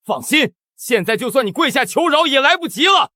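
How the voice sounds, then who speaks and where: An actor playing an arrogant young man who scorns beggars, contemptuous and loud. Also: extremely high pitch, expressive, brisk, a little hiss or hum, full character voice. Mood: shouting. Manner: contemptuous, shouting. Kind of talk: short-drama acting.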